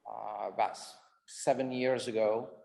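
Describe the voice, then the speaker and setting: A man from Syria who moderates an art panel and speaks as an artist, measured and hesitant with filler sounds. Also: on the low side, steady tone, creaky, mellow.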